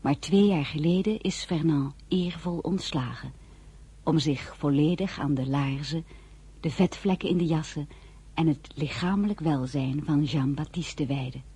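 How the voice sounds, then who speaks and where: Radio drama lead: tender young bride and narrator, very husky and mellow. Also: female voice, sits low, moderate pace. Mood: calm narrating. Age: young adult. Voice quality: husky, breathy. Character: Désiré, tender young newlywed wife telling her own story.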